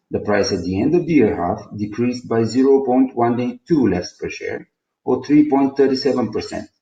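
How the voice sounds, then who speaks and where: Bulgarian guy reading company results, low mellow voice, echoey room. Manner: formal, reading out figures. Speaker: company presenter. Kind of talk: scripted financial presentation. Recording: roomy, echoing sound.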